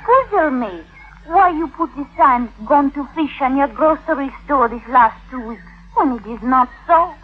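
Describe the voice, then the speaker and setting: A very high, girlish French-accented voice, playing a coquettish and bossy schoolgirl. It is old radio drama from the 1950s, with thin, narrow-band sound. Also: animated, moderate pace. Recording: tinny vintage 1950s radio broadcast.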